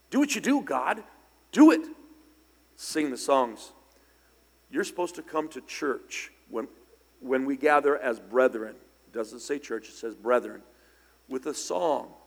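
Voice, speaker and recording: A middle-aged man preaching a sermon in church, slightly hoarse and raspy from singing, conversational with loud bursts of emphasis. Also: medium pitch. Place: church sanctuary. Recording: long hall reverb in church.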